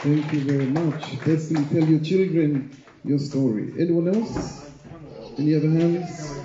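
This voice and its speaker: A man moderates informally with short prompts. His voice is fairly high, and the recording is muffled, with clear noise. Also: fairly even intonation, measured pace. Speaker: event moderator. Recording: echoey, muffled, noisy room recording.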